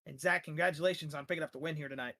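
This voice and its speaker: A man who is losing his voice, interviewing drivers after a sim race with energetic, rambling talk full of fillers. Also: a little high, very fast, gravelly. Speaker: sim racing league broadcaster.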